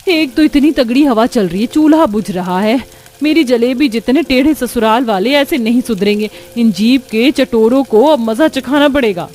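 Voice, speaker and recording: Woman as a high-voiced, fed-up young cartoon daughter-in-law. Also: moderate pace, a little mellow, slight background noise, lightly acted. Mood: exasperated. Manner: whining, exasperated complaining.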